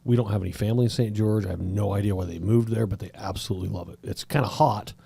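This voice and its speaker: A man's voice: on the low side, fairly even intonation, fast, vocal fry, warm tone. A middle-aged man hosting a podcast interview, chatty and affirming, often chiming in with agreement. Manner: affirming listener, frequent "yeah" interjections. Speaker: podcast host.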